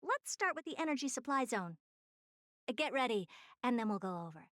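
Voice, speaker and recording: A woman's voice: on the high side, sing-song, moderate pace, fairly bright tone. An actress voicing a self-assured genius woman scientist in a video game, with a haughty, offhand, dryly dismissive manner. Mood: breezy confidence.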